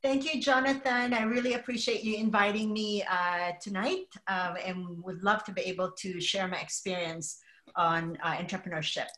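A woman's voice: medium pitch, fairly even intonation, fairly bright tone, roomy sound. Middle-aged Filipino-born woman, earnest guest. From Philippines. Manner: earnest, measured, many fillers. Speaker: podcast guest, entrepreneur. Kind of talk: casual interview conversation.

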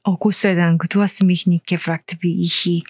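A native Korean woman with a Korean accent, co-hosting a conversational language lesson as the teacher, often affirming and speaking halting German. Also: on the low side, flat delivery, no echo.

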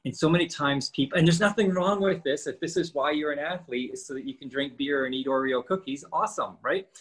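A man's voice: fairly high pitch, a little fast, a bit bright, husky. A man in his fifties co-hosting a casual podcast, explaining training and nutrition with lots of rhetorical questions. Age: 50s.

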